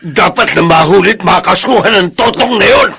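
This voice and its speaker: An actor playing a furious, protective elder brother in a Filipino radio drama, with a raspy voice. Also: male voice, high voice, normal speed, pushed and bright, full character voice. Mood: accusing.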